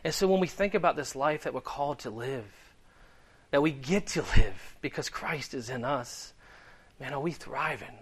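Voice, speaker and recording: A man's voice: mid-range voice, normal speed, fairly bright tone, slightly rough. A man in his thirties from Delaware with a Boston accent, preaching a sermon in an earnest, conversational way with frequent ums. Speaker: guest preacher.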